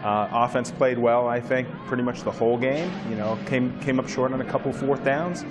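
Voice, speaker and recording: A man analyzing the game in a postgame studio, measured with a few fillers. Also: mid-range voice, over music.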